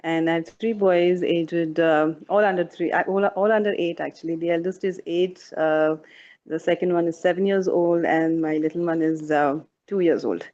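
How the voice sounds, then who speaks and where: Mom from dubai chatting on a panel, lots of uhs and restarts, muffled lo-fi audio. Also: female voice, lowish voice, fairly even intonation, darkish tone. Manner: halting, many fillers and restarts. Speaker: panel guest, mother and blogger. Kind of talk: casual panel talk.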